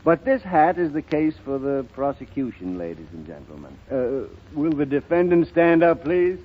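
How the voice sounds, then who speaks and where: An actor playing a shrewd, folksy defense lawyer in a 1930s radio drama, speaking in oratorical phrases with dramatic pauses. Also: male voice, a little high, big pitch swings, lo-fi sound. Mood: persuasive, courtly. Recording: thin band-limited 1930s radio recording.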